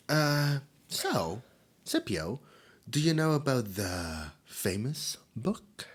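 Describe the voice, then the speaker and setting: A man's voice: mid-range voice, huge pitch swings, drawn-out words, slightly rough, exaggerated voice. A man voicing a nervous, lonely demon host who stammers and giggles through an audio roleplay. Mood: excited, chatty.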